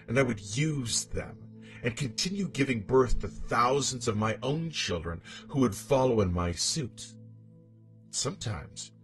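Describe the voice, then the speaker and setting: A scorned, demonic first wife , acted by a man, delivers a horror monologue of revenge. The delivery is theatrical and highly animated in pitch, moving between hushed menace and taunting outrage. Music plays behind the voice. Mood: gloating menace. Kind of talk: dramatic horror story monologue.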